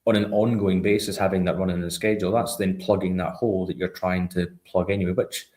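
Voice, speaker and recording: A man with a deep, low-pitched voice talks through a software product as a guest expert. His delivery is informal and explanatory, often checking in with short tag questions. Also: level tone, fast talker. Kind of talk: conversational product walkthrough.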